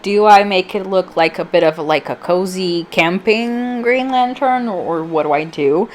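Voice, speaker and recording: A woman's voice: lowish voice, a little slow, slight background noise. A woman giving a casual, rambling, self-deprecating voice-over as a vlogger, her voice slightly off from allergies. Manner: informal, rambling, self-deprecating, filler-heavy. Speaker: vlogger, channel host. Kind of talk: casual chatty voice-over for a craft video.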